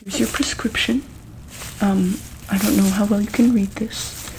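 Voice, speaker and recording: A woman playing a calm, caring monster-hospital doctor in an ASMR roleplay, speaking softly and hesitantly in a breathy whisper. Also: mid-range voice, unhurried, noisy background, lightly acted. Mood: gentle, reassuring. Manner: hesitant, gentle, soft-spoken.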